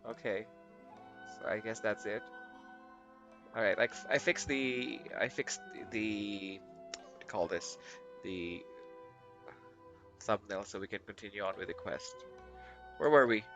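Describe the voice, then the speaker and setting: A man's voice: lowish voice, unhurried, pauses often. A man chatting casually as he livestreams a video game, rambling and often rephrasing himself. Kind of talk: casual live stream chat. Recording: muffled lo-fi mic, faint music behind.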